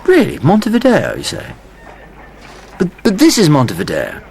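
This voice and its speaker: A man's voice: on the high side, moderate pace, gravelly, a little hiss or hum, full character voice. An English actor playing an impulsive young Englishman who narrates his own story in a radio drama. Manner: wry, animated storytelling. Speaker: narrator and lead.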